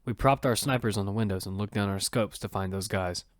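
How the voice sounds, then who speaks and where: A man's voice: lowish voice, a little fast, no echo, studio quality. A man narrating a first-person action drama as its protagonist, in an earnest storytelling manner.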